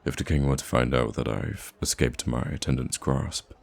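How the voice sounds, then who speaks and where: Male actor as gentle wounded prince, ASMR roleplay. Bottomless bass, gravelly rasp, halting and wistful delivery. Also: steady tone. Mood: hushed, urgent. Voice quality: very deep, gravelly, husky. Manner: hesitant, gentle, halting with sighs and stammers. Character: soft-spoken wounded young prince, wistful, gracious, secretly roaming a market.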